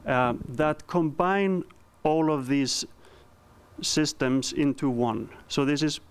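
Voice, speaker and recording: A man in his thirties with an Icelandic accent presents to a room through a handheld mic. His voice sits a touch high and rings smooth and resonant. He talks earnestly, pausing often on ums and restarting phrases. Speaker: company founder giving a presentation. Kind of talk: conference presentation. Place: conference room.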